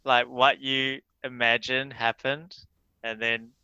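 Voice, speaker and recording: A man's voice: medium pitch, flat delivery, takes it slowly, bright, forceful tone, clean tone, no echo, muffled recording. A young man co-hosting a podcast, teasing the others in casual chat. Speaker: podcast co-host.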